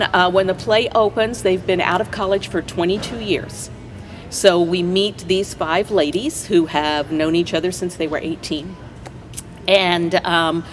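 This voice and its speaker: An American woman speaking conversationally as a guest in a casual interview. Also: fairly low pitch. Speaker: interview guest, play director. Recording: faint background noise.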